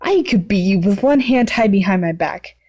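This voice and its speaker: A woman voicing a cocky, hot-tempered teenage boy who talks boastfully and gruffly in a story reading. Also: medium pitch, animated, moderate pace, a little mellow, natural voice. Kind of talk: fan fiction read aloud.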